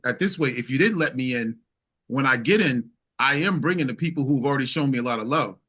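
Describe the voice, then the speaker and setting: A man's voice: mid-range voice, expressive, quick pace, bright, forceful tone, husky. A man speaking as a singer-songwriter guest in a casual interview, emphatic and repeating phrases as he builds his points.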